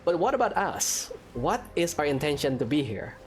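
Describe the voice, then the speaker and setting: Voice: mid-range voice, sing-song, normal speed. A gamer giving casual, chatty gameplay commentary, reacting aloud to the game. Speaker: gamer, let's player. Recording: clear game music behind voice.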